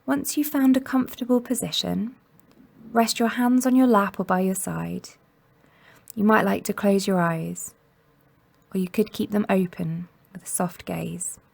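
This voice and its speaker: A woman leads a guided mindfulness meditation in a soothing, gentle way. Her mid-range voice often settles into a creaky fry. She gives each instruction without hurry and leaves generous pauses. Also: mid-range voice. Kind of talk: guided body scan meditation narration.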